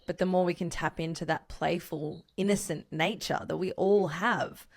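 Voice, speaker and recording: A woman host with a somewhat low voice gushes enthusiastically as she talks, filling her speech with "you know" and "like." She is heard over a video call. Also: steady tone, normal speed. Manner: effusive, gushing, leans on "you know" and "like". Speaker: podcast host and interviewer. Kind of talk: warm, enthusiastic interview chat.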